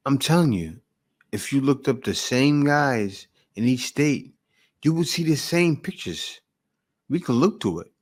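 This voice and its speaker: A man's voice: mid-range voice, animated, unhurried, a little mellow. A man hosting a reaction video, who speaks in a blunt, lecturing and emphatic way. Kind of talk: opinionated reaction commentary.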